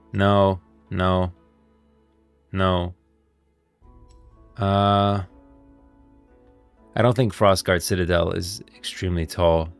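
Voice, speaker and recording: Male streamer, low and creaky voice, enthusiastic, emphatic, off-the-cuff lore commentary. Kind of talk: casual livestream game lore commentary. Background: music.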